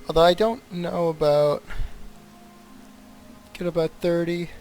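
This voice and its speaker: A man's voice: fairly high pitch, fairly even intonation, measured pace, darkish tone. Man rambling through casual game commentary. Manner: rambling, thinking aloud. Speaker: game streamer. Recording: game music behind the voice. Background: video game music.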